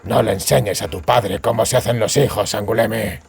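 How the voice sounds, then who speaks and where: A man voicing a gruff, menacing monster hunter with a gravelly voice and a terse, sardonic manner. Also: lowish voice, fairly even intonation, moderate pace. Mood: dry, sardonic. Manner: terse, threatening.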